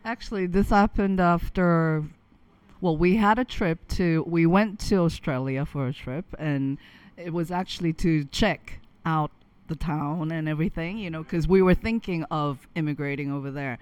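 A woman guest telling a story in a chatty, animated way, often laughing as she talks. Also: lowish voice. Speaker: interview guest. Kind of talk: casual storytelling.

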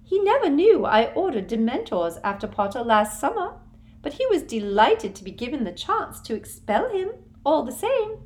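A woman reading an audiobook, voicing a cruel, simpering middle-aged witch official in a high, breathy, sugary-menacing voice. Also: big pitch swings, normal speed. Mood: gloating.

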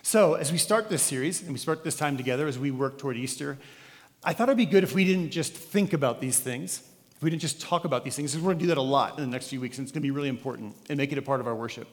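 A male pastor introduces his guests in a relaxed, friendly, conversational way. His voice sits in an ordinary middle range, carried by a long hall reverb. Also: medium pitch, fast. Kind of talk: sermon introduction. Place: church sanctuary.

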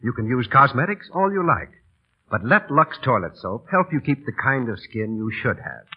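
A man announces in vintage radio advertising style. His delivery swings widely in pitch, and his voice has a husky edge. The sound is dull and aged. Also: expressive, normal speed. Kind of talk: 1930s radio ad read. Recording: muffled old 1930s radio recording.

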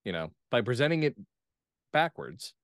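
A man talking casually and reflectively as an interview guest, phrasing his thoughts in measured pieces. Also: mid-range voice, big pitch swings, smooth, no room sound, clean studio sound. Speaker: guest playwright and actor. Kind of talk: casual discussion.

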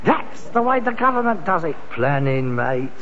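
An actor playing a frustrated grown-up son in a British sitcom, a London junk trader with big ideas and a sarcastic, indignant manner. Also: male voice, a little high, big pitch swings, normal speed, fairly bright tone, a little hiss or hum. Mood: scheming, persuasive. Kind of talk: 1960s British sitcom acting. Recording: dull, muffled old sitcom recording.

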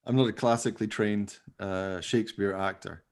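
A man's voice: lowish voice, steady tone, moderate pace. A man chatting casually as a co-host, rambling along in a jokey, self-deprecating way. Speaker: podcast co-host, radio play writer. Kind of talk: casual behind-the-scenes chat.

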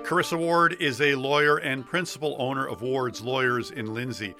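A man's voice: mid-range voice, fairly even intonation, normal speed, sharp tone, faint music behind. A Canadian man hosting a podcast, asking probing questions in a conversational way. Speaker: podcast host. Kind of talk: interview questions.

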